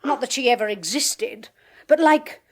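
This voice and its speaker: This is a sardonic, theatrical clairvoyant from a 1980s British radio drama, a woman of middle age with a sharp tongue. fairly high, with a British accent and a dry, flamboyant bite. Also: expressive, measured pace. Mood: bitter. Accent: British English.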